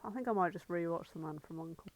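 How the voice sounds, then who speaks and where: A woman's voice: fairly low pitch, fast talker, no echo. A woman co-hosting a podcast in casual chat, informal and sweary, rambling through her anecdotes. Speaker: podcast co-host.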